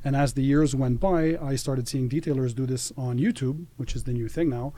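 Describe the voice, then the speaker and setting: A man's voice: medium pitch, brisk, mellow. A man, a guest telling his story in casual podcast chat, earnest, with frequent fillers and restarts. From Canada. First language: French. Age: 30s. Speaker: guest, detailing YouTuber.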